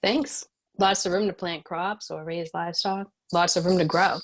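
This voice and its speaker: A woman acting as a tough female ex-cop who leads her people in a screen drama. Her voice is fairly low, and she speaks in a guarded, modest, matter-of-fact way. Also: fairly even intonation, normal speed. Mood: softening. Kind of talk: screenplay table read, dramatic dialogue.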